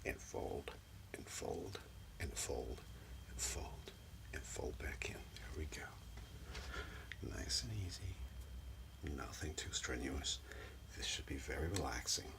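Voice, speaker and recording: A man with a very deep voice guides a relaxation session in soft ASMR style. He often drops into a breathy whisper and takes his time over each phrase. His manner is gentle and reassuring. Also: takes it slowly. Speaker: ASMR host, role-playing Reiki practitioner. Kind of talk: soft-spoken ASMR, personal-attention session. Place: small room indoors.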